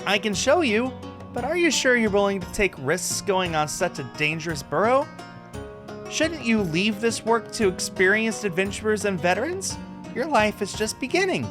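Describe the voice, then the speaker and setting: A cheerful female peddler in a fantasy visual novel, played by a man. The voice is pitched high and swings around with excitement. She is flirty, teasing and theatrical, gushing like a keen saleswoman. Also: normal speed, over music. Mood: teasing concern. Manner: gushing, teasing, excited sing-song delivery. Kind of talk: visual novel character read-aloud.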